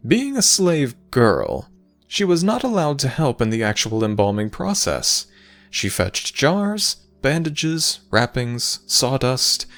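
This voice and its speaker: Man acting out an urbane male god, theatrical storyteller, hugely swooping pitch, creaky edge, grand pauses, audio drama. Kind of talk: ASMR audio roleplay.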